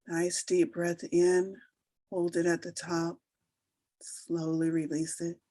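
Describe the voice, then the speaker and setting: A woman leading a guided meditation, giving soothing, unhurried instructions with long pauses between phrases. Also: fairly low pitch, completely flat delivery, mellow, no room sound. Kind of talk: slow guided meditation narration.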